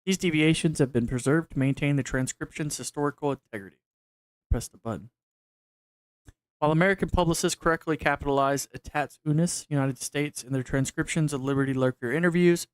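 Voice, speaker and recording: A man's voice: medium pitch, steady tone, fairly quick, smooth, no room sound, rough recording. A man in a casual live reaction stream, reading on-screen text aloud and stumbling over words. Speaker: streamer reacting to a horror series.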